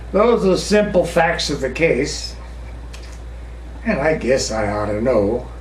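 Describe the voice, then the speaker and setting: A man reciting a narrative ballad in a theatrical, expressive storytelling manner with drawn-out pauses. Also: medium pitch. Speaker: poetry reciter. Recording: roomy, distant mic with faint noise.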